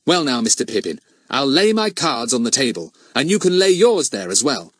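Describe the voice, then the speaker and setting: A man's voice: medium pitch, very animated, normal speed, no room sound, clean studio sound, pitched above the performer's own voice. A man voicing a confident, earnest teenage boy detective in an audiobook, well-spoken, polite and persuasive with a cheeky streak. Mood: hearty. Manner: well-spoken, persuasive, eager schoolboy phrasing.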